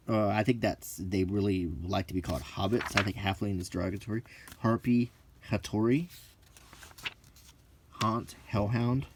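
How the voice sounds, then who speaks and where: A middle-aged man giving casual, unscripted commentary as he leafs through a book, rambling and halting, musing aloud in short phrases. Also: fairly low pitch, slight background noise. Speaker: host of a hobby channel.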